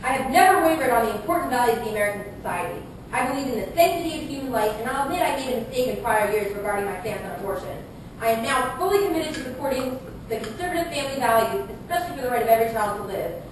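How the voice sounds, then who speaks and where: A woman's voice: lively intonation, rapid-fire, close to an everyday voice. A woman playing a combative male conservative presidential challenger, making accusatory scripted speeches in a puppet show debate. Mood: indignant. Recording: echoey room, distant mic, rumbling noise.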